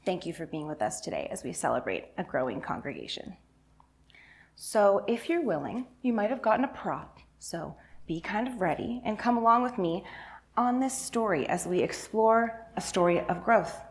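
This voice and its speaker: A woman's voice: mid-range voice, expressive, normal speed. A woman giving an interactive talk for all ages at church, informal and encouraging, prompting listeners with questions. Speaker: church faith and justice coordinator.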